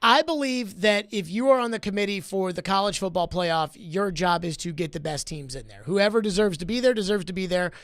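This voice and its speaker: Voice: mid-range voice, a little fast, few pauses, dry recording. Emphatic sports talk host, very dry sound. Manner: emphatic, argumentative, repeats phrases for effect. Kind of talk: opinionated sports debate.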